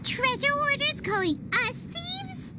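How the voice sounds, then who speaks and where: A woman voicing a small, curious, outspoken childlike fairy companion in a video game, squeaky and expressive. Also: slow pace, heavily acted. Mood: indignant. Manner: exclamatory, expressive, questioning. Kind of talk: video game cutscene acting. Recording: loud background noise.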